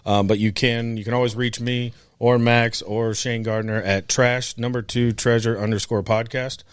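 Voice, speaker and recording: A man from Florida, a podcast co-host speaking as a guest, telling stories in a relaxed way with many fillers. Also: mid-range voice, moderate pace, clean tone, no room sound, studio quality. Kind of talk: casual interview conversation.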